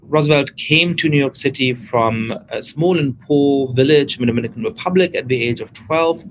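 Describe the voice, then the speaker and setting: A man hosting a podcast, introducing his guest and asking about his life in an earnest, measured way. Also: mid-range voice, a little hiss or hum, poor audio. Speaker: podcast host. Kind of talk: podcast interview.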